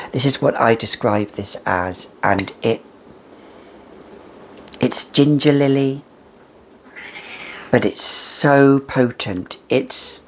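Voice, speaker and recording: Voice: medium pitch, a little mellow, a little hiss or hum. A perfume reviewer chatting casually and emphatically to camera, with a whimsical, conversational manner.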